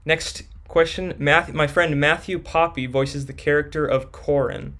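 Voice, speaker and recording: A man's voice: a little high, steady tone, measured pace, fairly bright tone. A young man hosting a live stream, reading viewers' questions from chat and answering them casually.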